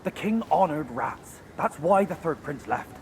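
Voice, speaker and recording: Wary, weary rat exile, faint noise. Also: male voice, a little high, animated, measured pace. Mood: earnest. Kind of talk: video game character acting.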